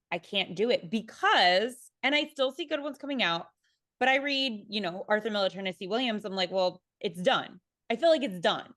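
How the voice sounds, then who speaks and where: A woman speaking casually as a theater panelist, with a halting, informal and self-deprecating way of talking. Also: medium pitch, fairly bright tone, no echo, studio quality.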